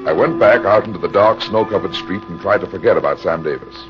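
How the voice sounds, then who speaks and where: An actor playing a tough, matter-of-fact American private detective, with clipped old-time radio noir delivery. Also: male voice, deep voice, fast, muffled recording, over loud music, natural voice. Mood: tense, grim narration. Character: hard-boiled, unsentimental male private detective in pulp radio drama.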